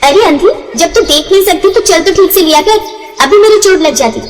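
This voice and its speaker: A woman's voice: extremely high pitch, lively intonation, fairly quick, long reverb tail, faint music behind, voice pushed up. A woman voicing a mean, scornful village girl, harsh and scolding, in a moral story. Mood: angry.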